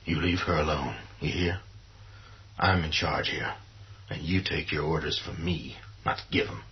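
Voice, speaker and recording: An actor playing a gruff, protective survivors' leader, firm and blunt, in a horror radio play. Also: male voice, very deep voice, fairly even intonation, a little slow. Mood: threatening. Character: commanding, protective, gruff man leading survivors. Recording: dull, muffled audio.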